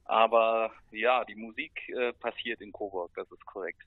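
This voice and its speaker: A man's voice: fairly low pitch, fairly even intonation, normal speed, dry recording. Phone-like male interview guest. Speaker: interview guest, band musician. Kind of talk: casual interview chat. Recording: tinny, phone-like line.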